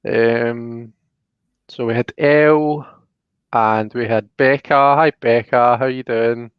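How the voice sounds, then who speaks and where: A man's voice: medium pitch, steady tone, slow pace, smooth, no echo, muffled recording. A man hosting a casual live stream in a chatty, informal, friendly way. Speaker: host.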